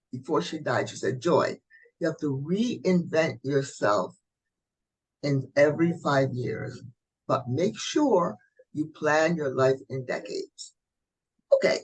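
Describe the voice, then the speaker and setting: A middle-aged woman from Barbados, a coach telling her story as an interview guest in a lively, chatty way. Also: low-pitched, slightly rough, low-quality recording. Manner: lively, chatty, warm asides like "honey".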